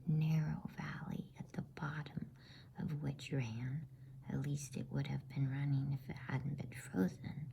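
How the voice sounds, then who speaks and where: A woman reading a bedtime story in a soothing, breathy ASMR whisper with deliberate pauses. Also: a bass voice, level tone, warm tone. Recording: faint background noise.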